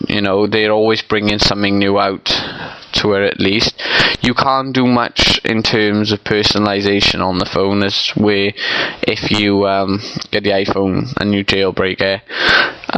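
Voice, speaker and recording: A man's voice: lowish voice, flat delivery, unhurried, sharp tone, clean tone, dry recording, rough recording. A young man with a stuffy, congested nose, rambling casually in a vlog with lots of ums and you-knows. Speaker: vlogger. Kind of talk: casual unscripted opinion vlog.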